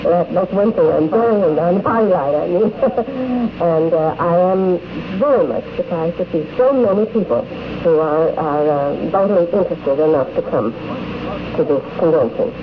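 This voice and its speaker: A woman's voice: on the low side, normal speed. A woman from New York State giving frank, hesitant, unscripted answers in an interview at a convention. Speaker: convention attendee being interviewed. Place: convention in the countryside. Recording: dull, very noisy 1950s radio recording.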